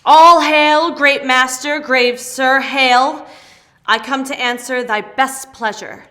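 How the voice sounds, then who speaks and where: Woman acting bright, slow-spoken, eager spirit servant. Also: sits high, fairly even intonation, exaggerated voice. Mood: eager, exalted. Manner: declamatory, emphatic. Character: Ariel, eager airy spirit servant, proud and dramatic. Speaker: actor in dramatic reading. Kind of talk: Shakespearean dramatic reading.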